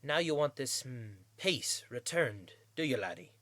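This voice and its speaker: A man voicing a shrewd, condescending male pony merchant, high and flighty, in an audiobook-style story. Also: animated, moderate pace, fairly bright tone, dry recording. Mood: sly, condescending. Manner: condescending, wheedling sales patter.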